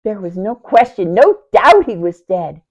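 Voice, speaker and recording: A woman playing a devoted, grieving youngest sister in a theatrical, deliberate Bible monologue that moves between hushed tones and outcries. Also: mid-range voice, sing-song. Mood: despairing. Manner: theatrical, deliberate, swinging from hushed near-whispers to loud outcries. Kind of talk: dramatic first-person Bible monologue. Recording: dull, lo-fi sound.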